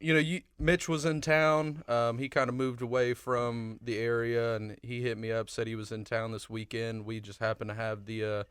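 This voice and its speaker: A young adult man co-hosting a podcast, talking casually with swearing and sudden loud, excited laughing bursts. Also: mid-range voice, moderate pace, hardly any pauses, clean tone, dry recording. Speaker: podcast co-host. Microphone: studio microphone.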